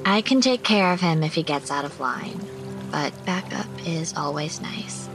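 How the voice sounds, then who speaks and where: A woman plays a teenage girl in an audio horror story. Her voice sits fairly low, and she is casual and teasing with a guarded edge. Music and rain sound behind her. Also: animated, normal speed. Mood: breezy teasing.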